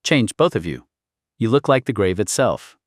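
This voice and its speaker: A commanding queen , as a male audiobook narrator reads her, grave and imperious in a historical saga. The voice is low and sits in a dry, close sound. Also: sing-song, moderate pace, no echo. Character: commanding middle-aged queen, an anxious, grave mother. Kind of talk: audiobook character dialogue.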